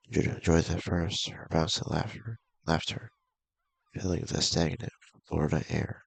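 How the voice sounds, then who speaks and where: Man, very low pitch, heavy vocal fry, casual halting game read-aloud, husky. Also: no room sound, low-quality recording. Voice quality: gravelly, husky. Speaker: video game streamer. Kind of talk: casual read-aloud playthrough of visual novel.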